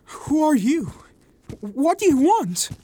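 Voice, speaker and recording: A woman's voice: sits low, lively intonation, takes it slowly, full character voice. A woman voicing a weak, nervous little man in a dramatised audiobook, plaintive and easily agitated. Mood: nervous, defensive.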